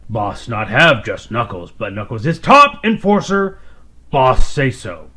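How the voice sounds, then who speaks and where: A man voicing a huge, dim, boastful alien enforcer with a rough rasp and broken English. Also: mid-range voice, very animated, a little slow, bright, forceful tone. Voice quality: rough, raspy.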